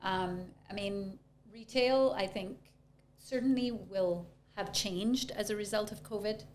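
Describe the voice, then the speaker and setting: A woman's voice: medium pitch, a little slow, a little hiss or hum. A woman co-hosting a casual podcast conversation, affirming and agreeable as she adds her views.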